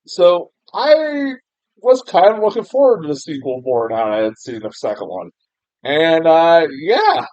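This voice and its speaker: A man's voice: fairly high pitch, sing-song, a little slow, a little mellow, no room sound. A young American man giving a casual, rambling film review, full of fillers and restarts, laughing at himself and swearing mildly. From United States. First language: English. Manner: rambling, self-deprecating, frequent fillers and restarts, laughs often, mild swearing. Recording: dull, muffled lo-fi sound, dry.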